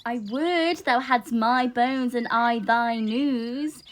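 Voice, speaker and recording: A woman's voice: on the high side, slow pace. A woman playing an eager, impatient young girl in love, speaking verse with dramatic pleading in a Shakespeare audio drama. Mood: urgent pleading. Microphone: phone, recorded at home. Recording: noisy home phone recording.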